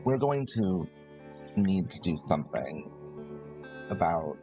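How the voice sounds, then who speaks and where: Low male voice, dull lo-fi sound, music behind, tabletop player, casual improvised roleplay, thinking aloud. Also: sing-song, takes it slowly.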